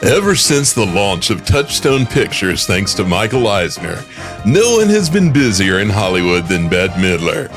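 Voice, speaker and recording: A man's voice: on the low side, sing-song, measured pace, over music. A man reading scripted narration as a narrator.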